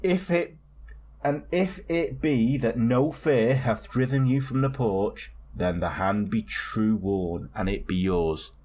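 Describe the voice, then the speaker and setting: A man's voice: mid-range voice, slow pace, darkish tone, breathy edge. A man co-hosting a casual true-crime podcast, telling stories in a rambling way, swearing and laughing as he goes. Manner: rambling storyteller, swears, laughs mid-sentence. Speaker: podcast co-host.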